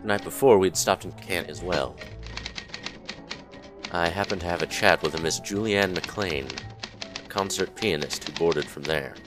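A low voice of a formal, downbeat 1950s newspaperman, acted by a man in a period radio drama. Music plays clearly behind him. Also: unhurried, long pauses. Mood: reflective. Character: glum, polite 1950s American journalist turned novelist.

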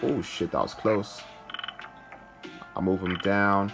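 A man's voice: on the low side, steady tone, slow, creaky, over music. A man hosting a gaming video, giving casual commentary as he plays. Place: indoor room, filming a handheld phone.

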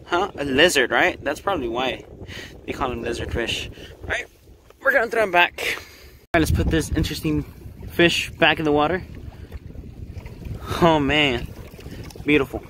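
A young man from Texas, with a slightly high voice, chatting upbeat commentary to his viewers while fishing from a rocky jetty. He exclaims over each catch as it comes in. The sound comes from a body-worn action camera, with loud wind blowing across the mic. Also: expressive, normal speed, pauses often. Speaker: fishing vlogger. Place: outdoors on a rocky jetty by open water. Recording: wind noise outdoors. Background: wind and water.

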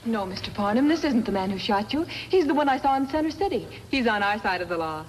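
A woman's voice: on the high side, expressive, fast talker, lightly acted. A woman playing an eager, kind young newspaper reporter in a 1950s radio western, earnest and gushing. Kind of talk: 1950s radio drama acting. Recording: noisy 1950s radio recording.

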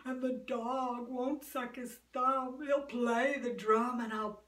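A woman voicing friendly animal musicians, a dog and a donkey, in earnest, measured rhyme. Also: a little high, steady tone, a bit bright, voice pushed down. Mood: friendly, encouraging. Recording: roomy echo, distant microphone.

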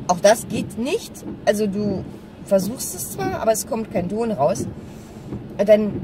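A middle-aged woman chatting casually in a vlog while driving, explaining things directly to the viewer. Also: mid-range voice. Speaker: vlogger. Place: inside a small car, driving. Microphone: dashboard-mounted camera. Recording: distant mic, loud car noise. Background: car and road noise.

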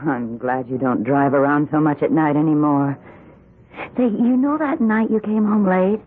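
Husky American housewife. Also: female voice, deep voice, animated, normal speed. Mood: concerned. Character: chatty, practical, self-interested suburban housewife. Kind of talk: 1970s radio drama acting. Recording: muffled old radio broadcast.

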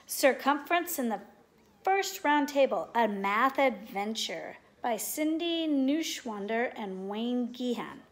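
High-voiced woman reading a children's story. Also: lively intonation, slow pace. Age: middle-aged. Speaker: storybook reader. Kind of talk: children's read-aloud narration. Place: living room at home, indoors.

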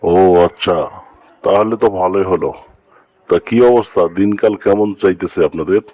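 Man voicing a low-pitched, folksy cartoon fox. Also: fairly even intonation, moderate pace, darkish tone, lightly acted. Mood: welcoming. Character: sly, well-fed male fox, curious and chummy. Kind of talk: cartoon moral-story dialogue.

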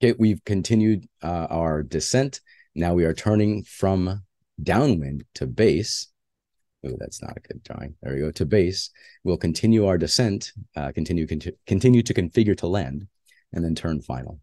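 A man's voice: low-pitched, animated, moderate pace, mellow, dry recording, studio quality. A man who is a flight instructor, giving a plainspoken slide lecture on flying with frequent filler uhs.

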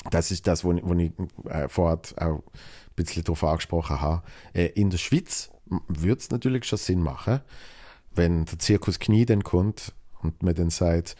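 A young man with a Basel Swiss German accent hosts his own solo podcast, his voice sitting deep and low. He wanders through his thoughts with plenty of fillers, false starts and repeated words. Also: normal speed, dark tone. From Switzerland. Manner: rambling, many fillers and restarts. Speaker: podcast host. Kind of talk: solo podcast, reading listener mail.

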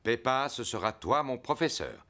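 A man playing a bumbling, good-natured and lazy daddy pig in an animated children's cartoon, with exaggerated, expressive delivery. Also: mid-range voice, normal speed, natural voice. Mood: good-natured, sheepish.

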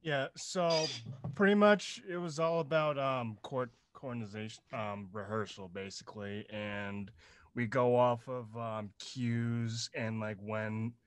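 A man's voice: mid-range voice, steady tone, smooth, no echo. A man giving a hesitant, casual answer on a video call as a sound team member. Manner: hesitant, halting.

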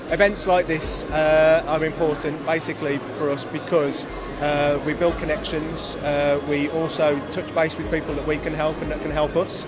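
A man's voice: a little high, heavy background noise. A man in his thirties being interviewed as a business attendee at an exhibition. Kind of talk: on-camera interview. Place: large indoor exhibition hall.

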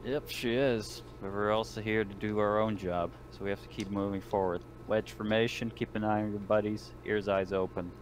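Commanding man with a deep voice. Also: fairly even intonation, moderate pace, hardly any pauses. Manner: commanding, clipped orders. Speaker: player acting as squad lieutenant. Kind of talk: in-character tabletop roleplay. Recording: noisy online voice chat recording.

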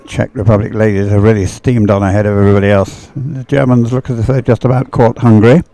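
A man's voice: lowish voice, steady tone, fast talker, dark tone, dry recording. A man giving live sports race commentary in a conversational, hesitant way, often musing aloud.

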